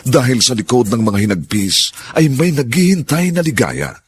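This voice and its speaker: A man's voice: mid-range voice, sing-song, moderate pace, slight background noise. A man announcing the opening of a radio drama with solemn, sweeping narration. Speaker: show announcer. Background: theme music.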